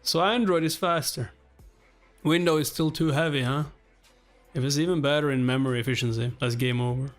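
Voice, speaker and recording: A commanding male corporate executive, read aloud by a man, speaks with a slightly high voice. He is formal, measured and coolly decisive, in webtoon boardroom drama. Music plays faintly behind. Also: natural voice.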